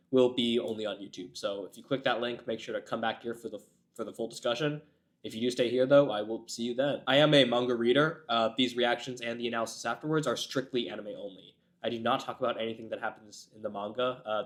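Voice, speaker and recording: Raspy, nasal man with a cold. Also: medium pitch. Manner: rambling, self-described yapper. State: getting over a cold. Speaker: anime reaction host. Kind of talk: casual chat to camera.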